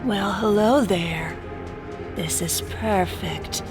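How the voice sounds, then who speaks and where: A woman's voice: medium pitch, slow pace, over music. A woman playing a sadistic female villain warrior in an audio drama, purring and taunting smugly. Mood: predatory glee.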